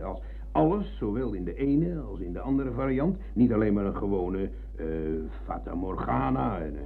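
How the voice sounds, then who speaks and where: A man's voice: lowish voice, slight background noise. An actor playing a rambling, argumentative older ex-soldier in a radio play, hesitating and digressing as he reminisces. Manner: digressive, hesitant searching, tag questions. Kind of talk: Dutch radio play acting. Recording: muffled phone-like old radio recording.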